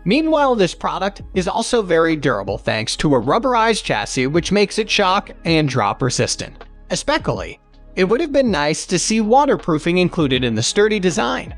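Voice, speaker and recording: A man's voice: high voice, sing-song, moderate pace, soft background music. A man reading a scripted countdown of product reviews as a narrator.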